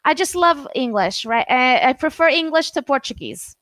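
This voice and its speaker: A woman's voice: sits high, expressive, moderate pace, a bit bright, no echo. A Canadian woman tutoring English over a video call, chatting casually and hesitating often with fillers and restarts. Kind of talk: casual online conversation lesson.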